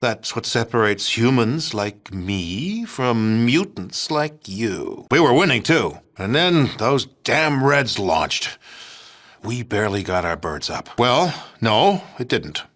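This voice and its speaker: A middle-aged American president from a video game, with a forceful, bright voice. He is pompous and smug, declaiming in a folksy politician's manner. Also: medium pitch, big pitch swings, slow, exaggerated voice. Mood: smug, lecturing. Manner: pompous, declamatory, hesitant stammering when cornered. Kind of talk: video game dialogue acting.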